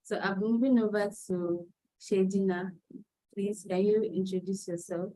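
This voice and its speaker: A woman's voice: mid-range voice, fairly even intonation, measured pace, dark tone, low-quality recording. A woman moderating an online panel for her firm, sprinkling her speech with frequent "um"s. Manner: filler-heavy, with frequent "um"s. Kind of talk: webinar moderation.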